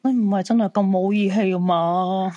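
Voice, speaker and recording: An actress with a Hong Kong Cantonese accent playing an excitable, insistent crystal fortune-teller in a radio drama. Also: female voice, mid-range voice, normal speed, lo-fi sound, lightly acted. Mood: indignant pleading.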